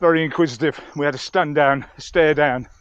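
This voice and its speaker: Higher-pitched man chatting outdoors, slightly dull sound. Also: a little fast, smooth, dry recording. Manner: chatty, rambling, musing aloud. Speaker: vlogger reviewing gear outdoors. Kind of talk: casual narration to camera while hiking. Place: outdoors on a mountain trail.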